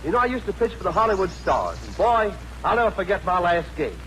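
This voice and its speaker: An actor playing a breezy, boastful skinny joker in a 1940s radio comedy. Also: male voice, high-pitched, very animated, quick pace, a bit bright. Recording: noisy old radio recording. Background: rain sounds.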